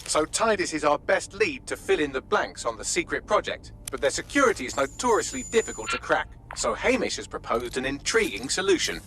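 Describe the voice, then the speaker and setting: An actor playing an articulate, composed resistance mission briefer in a video game, with a crisp delivery. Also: male voice, on the high side, sing-song, fairly quick, few pauses, fairly bright tone. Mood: composed, intrigued. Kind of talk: scripted video game acting.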